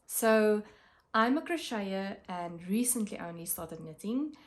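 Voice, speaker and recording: South African woman, Afrikaans first language, relaxed craft vlog chat, slow and halting, lots of ums. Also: mid-range voice. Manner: unhurried, with many pauses and fillers. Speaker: craft vlogger. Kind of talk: casual chat to camera.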